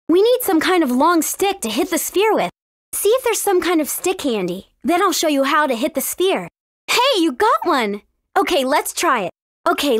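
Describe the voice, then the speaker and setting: A woman voicing a brave, friendly teenage warrior princess in a video game, with an earnest, clearly spoken manner. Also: sits high, dry recording, studio quality. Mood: upbeat, eager. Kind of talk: scripted video game character dialogue.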